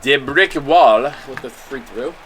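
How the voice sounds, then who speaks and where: A man's voice: mid-range voice, measured pace. A man calling a simulated basketball game on a live stream, upbeat and thanking viewers by name between plays. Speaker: play-by-play broadcaster for a simulated basketball league stream.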